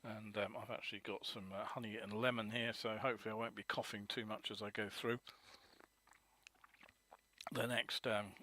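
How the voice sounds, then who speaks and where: A man hosting a live motorcycle news webcast, hoarse from a cold, rambling with many ums and uhs. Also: fairly low pitch, little pitch movement, moderate pace, sharp tone.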